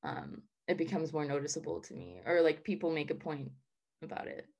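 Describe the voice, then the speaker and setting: Young woman from the us south, low voice, rambling casual answers w lots of likes and ums. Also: big pitch swings, fairly quick, gravelly, a little mellow. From Southern United States. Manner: chatty, lots of "like" and "um" fillers. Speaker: interviewee.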